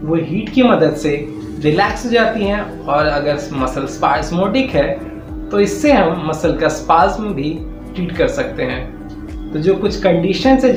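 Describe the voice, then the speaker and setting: Indian man teaching, echoey with background music. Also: high-pitched. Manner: informal, step-by-step explaining with English technical terms mixed in. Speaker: physiotherapy teacher and channel host. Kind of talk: explanatory lecture narration. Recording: echoey room sound. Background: clear background music.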